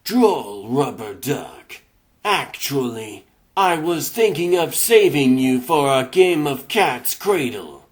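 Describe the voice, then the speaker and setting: Man playing a pompous, slow-talking cat crime boss. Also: medium pitch, sing-song. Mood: smug, menacing. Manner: pompous, verbose, formal diction. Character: suave pompous male cat crime boss, wordy and menacing. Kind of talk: comic dub voice acting.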